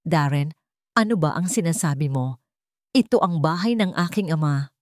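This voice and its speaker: A woman's voice: low-pitched, animated, normal speed, no echo, studio quality. A woman voicing a wronged, hurt woman who questions and pleads in an audio romance story. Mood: disbelieving.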